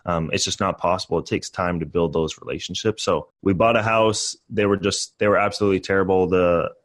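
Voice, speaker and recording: A man's voice: sits low, steady tone, a little fast. A young man in his twenties, a real estate investor guest, talking casually and upbeat with many fillers. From born in Canada, raised in the US. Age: 20s. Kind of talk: casual podcast interview.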